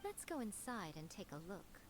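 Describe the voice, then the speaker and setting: A woman acting a composed, knowing character in a fantasy video game, speaking in a measured manner. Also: medium pitch, very animated, a little hiss or hum, close to an everyday voice, poor audio.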